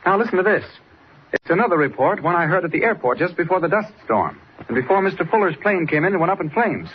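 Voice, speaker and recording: An actor playing a sharp, decisive, brave reporter hero in a 1940s radio serial, brisk and commanding. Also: male voice, sits high, expressive. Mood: suspicious, intent. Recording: dull old radio recording, faint noise.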